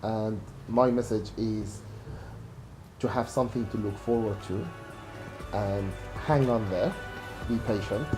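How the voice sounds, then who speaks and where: A middle-aged man from Iraq, giving calm, earnest personal testimony in an interview. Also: fairly low pitch, takes it slowly, pauses often, music in the background, low-quality recording.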